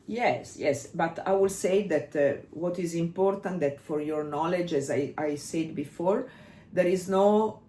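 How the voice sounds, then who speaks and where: A woman's voice: lowish voice, a little slow, mellow. A woman with an Italian accent, explaining as a dance coach in casual discussion, hesitant and searching for English words. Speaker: dance coach and podcast co-host. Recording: echoey room.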